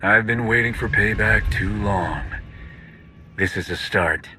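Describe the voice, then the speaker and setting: A man's voice: lowish voice, measured pace, sharp tone, a little hiss or hum. A man playing a resolute, pragmatic rebel commander in a video game, firm and matter-of-fact. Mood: grim resolve. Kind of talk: video game cutscene acting.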